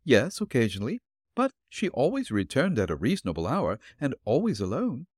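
A man voicing an elderly, refined and gentle landlady in a raised, polite voice. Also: wildly swooping pitch, brisk, breathy edge, dry recording, clean studio sound. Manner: polite, flustered, high put-on voice. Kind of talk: audiobook character reading.

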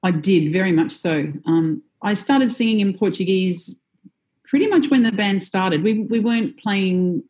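A woman singer talks through her experiences in a relaxed interview, pausing often with ums and soft laughs. Her voice sits a little low and sounds warm and mellow. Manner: reflective, with frequent "um" and soft laughs. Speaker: singer in a band, guest. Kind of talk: conversational interview.

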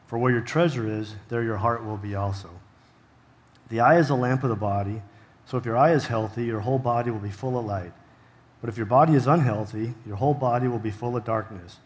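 A man's voice: medium pitch, a little fast. Male volunteer reader, average register, reading Bible verses aloud.

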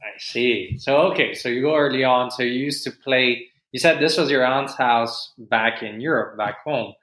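A man hosting a casual podcast interview, asking long, rambling questions in a relaxed way. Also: mid-range voice, moderate pace, poor audio. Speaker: podcast host.

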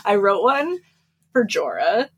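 Female podcast co-host, slightly raspy mid-pitched voice, informal and joking in casual book conversation. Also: big pitch swings, slow. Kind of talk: casual book discussion chat.

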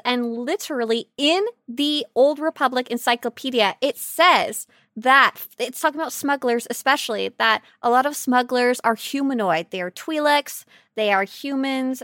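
Giggly, bright high-pitched woman podcaster. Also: dry recording, studio quality. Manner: giggly, excitable, playful. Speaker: podcast host. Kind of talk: casual comedic podcast chat about a video game.

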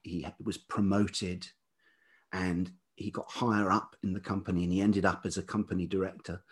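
A man's voice: low voice, darkish tone, slightly rough. An older man, telling personal stories reflectively as an interview guest, in a hesitant, thoughtful way. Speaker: podcast guest, writer and musician.

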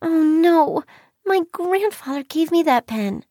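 A woman voicing a curious, excitable young girl who exclaims and asks eager questions in a children's story. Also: very high voice, slow pace, no room sound, studio quality, voice pushed up, full character voice. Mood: upset.

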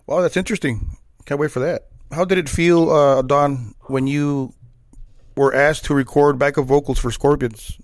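A man's voice: on the high side, steady tone, normal speed, dry recording, studio quality. A man hosting a metal podcast interview, agreeable and chatty, with many short yeahs and wows. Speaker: podcast host. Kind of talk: casual interview and outro.

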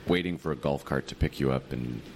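A man co-hosting a podcast in casual chat, his voice a bit raspy from shouting, laid-back. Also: rumbling low, fairly quick, a little hiss or hum. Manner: relaxed, laid-back banter. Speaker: podcast co-host.

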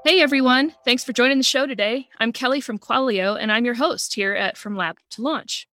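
A woman's voice: fairly high pitch, a little fast, pushed and bright, dry recording, soft background music. A woman hosting a podcast, reading an upbeat introduction and asking questions. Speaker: podcast host.

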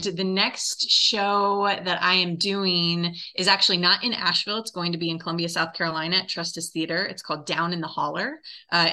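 Bright-voiced woman, a little low, interview guest. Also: poor audio. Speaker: interview guest, theatre director. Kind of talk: conversational interview answers.

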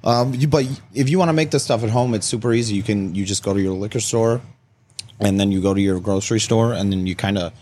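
Low-pitched American man, casual explainer. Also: quick pace, mellow, a little hiss or hum. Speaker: guest co-host, bartender.

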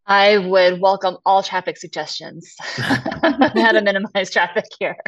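A woman's voice: mid-range voice, normal speed, dry recording. A woman co-hosting a podcast in casual chat, heard over slightly lo-fi remote audio. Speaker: guest co-host.

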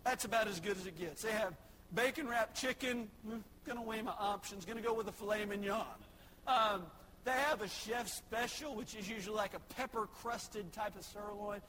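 A young man preaching a sermon, swinging from shouted exhortation to soft, folksy asides. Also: sits high, moderate pace. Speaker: pastor.

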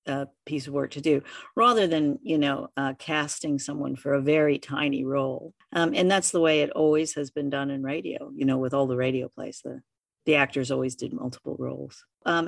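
A woman hosting a casual interview in a halting, chatty way. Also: low voice, creaky. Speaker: interviewer, podcast creator.

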